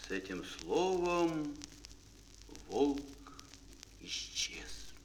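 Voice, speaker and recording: A man narrating a children's fairy tale as a storyteller in an expressive, theatrical, dramatic manner. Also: on the high side, drawn-out words, a little hiss or hum.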